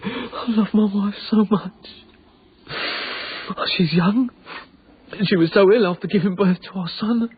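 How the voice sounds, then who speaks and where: A British actor playing an earnest, emotional young Victorian working man in a radio drama, with halting, formal phrasing. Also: sits high, slightly rough, full character voice. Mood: grieving. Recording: narrow tinny band, faint background noise.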